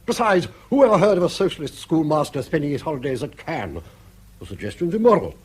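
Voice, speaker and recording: An old, bankrupt British grandfather in a radio play. He is sardonic, shameless and spoiling for an argument. His husky voice swings widely in pitch as he blusters on. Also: big pitch swings, fast. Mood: mocking. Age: older. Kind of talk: British radio drama acting.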